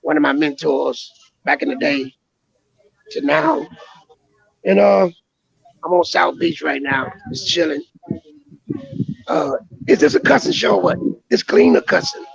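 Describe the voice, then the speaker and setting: A hoarse man with a deeply gravelly, raspy voice, a touch higher than most men. He jokes in brash, crude banter as a comedy guest. The sound is dull and lo-fi, like a call line. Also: very animated, unhurried, long pauses, dry recording. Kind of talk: casual podcast chat.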